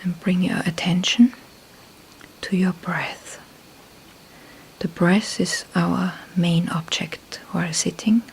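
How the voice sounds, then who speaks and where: A woman leading a guided meditation in a soft, breathy near-whisper, calm and unhurried, with long pauses between short phrases. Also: lowish voice, slight background noise, low-quality recording. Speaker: meditation teacher. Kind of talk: slow guided meditation instruction.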